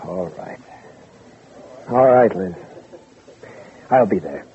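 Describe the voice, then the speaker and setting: A man's voice: low-pitched, expressive, slow pace, a little hiss or hum, full character voice. Uneasy, restrained man, old radio. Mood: uneasy, firm. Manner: hesitant, restrained. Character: evasive, uneasy man resisting his married former lover. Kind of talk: 1940s radio drama acting. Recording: tinny vintage radio recording.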